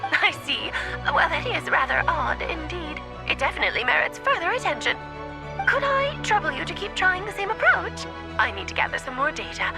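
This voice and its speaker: An actress playing a playful, confident researcher in a video game, airy, articulate and inquisitive. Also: female voice, high-pitched, very animated, moderate pace, over music. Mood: curious, pondering.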